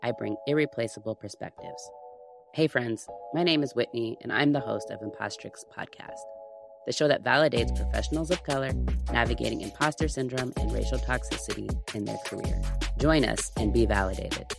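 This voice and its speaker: A woman hosting a podcast, chatting in a relaxed, conversational way with her guest. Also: extremely low pitch, a little fast, creaky, music in the background. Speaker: podcast host. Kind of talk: casual podcast interview.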